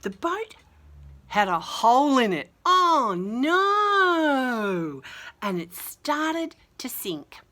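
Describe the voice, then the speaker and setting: A woman reading a picture book aloud to young children in an expressive, dramatic way, with casual chatty asides and some hushed delivery. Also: sits high, extremely slow. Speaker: children's story reader.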